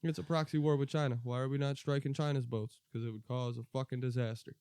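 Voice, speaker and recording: A man's voice: medium pitch, steady tone, quick pace, darkish tone, clean tone, no room sound, studio quality. An American man co-hosting a podcast, chatting casually about politics in a blunt, profane, opinionated way. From United States. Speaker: podcast co-host.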